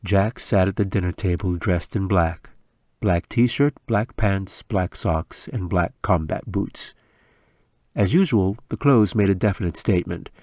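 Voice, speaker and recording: A man's voice: sits low, unhurried, a little mellow, no echo, studio quality. A man reading a fantasy audiobook as a scripted story narration. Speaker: author reading own audiobook.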